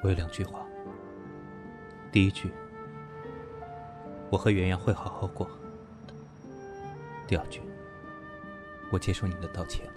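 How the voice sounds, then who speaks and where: An actor playing a composed, shrewd, gently teasing businessman in an audio drama, speaking calmly and dryly. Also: deep voice, unhurried, long pauses, over music. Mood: composed, firm. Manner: calm, concise, dry. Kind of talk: Chinese audio drama acting.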